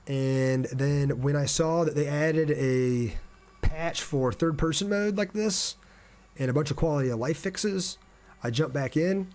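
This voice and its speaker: A man's voice: fairly high pitch, measured pace, a little mellow. A man hosting a game livestream, talking casually and reading out viewer comments as he answers them. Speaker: game streamer, host. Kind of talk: casual livestream chat over gameplay.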